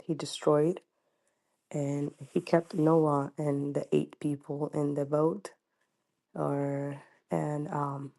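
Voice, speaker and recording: A woman from Ethiopia with an Ethiopian accent, calling in with a question and speaking haltingly, searching for words. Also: low voice, level tone, creaky, dark tone. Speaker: caller.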